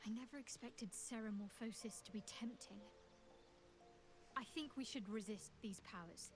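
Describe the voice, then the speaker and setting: An actress playing a guarded, wry and reluctant adventuring companion in a video game, articulate and measured. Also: female voice, a little high, music in the background, close to an everyday voice. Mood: wary. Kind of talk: video game character acting.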